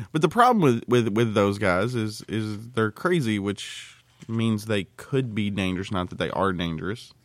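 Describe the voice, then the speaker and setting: A man's voice: on the low side, lively intonation, unhurried, dry recording. A man co-hosting a podcast, talking casually with blunt, joking remarks. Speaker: podcast co-host. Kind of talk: casual banter and discussion.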